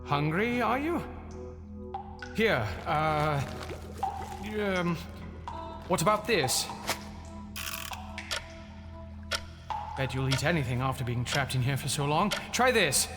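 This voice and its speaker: An actor playing an indignant, suspicious British banker in an audio drama, talking in a theatrical, exclaiming way. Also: male voice, fairly high pitch, lively intonation, a little slow, long pauses. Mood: startled, wary. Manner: theatrical, muttering to himself, exclaiming. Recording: loud music behind the voice. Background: music.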